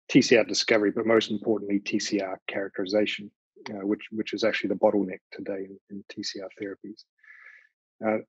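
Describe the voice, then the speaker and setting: A man with a New Zealand accent introducing his biotech company on a panel, halting, with many repeated words. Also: on the low side, husky, dry recording. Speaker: biotech company founder and CEO. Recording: dry, dull lo-fi sound.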